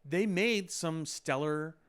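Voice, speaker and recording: A man's voice: fairly high pitch, slow, a bit bright, clear, resonant tone. A man co-hosting a podcast in casual discussion, explaining his points with lists of examples. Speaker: podcast co-host. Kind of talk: casual discussion of films and effects.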